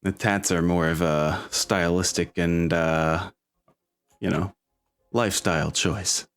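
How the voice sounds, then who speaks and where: A man narrating a tabletop game as game master, with a halting, hesitant delivery. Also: low-pitched, fairly even intonation, a bit bright, clear, resonant tone.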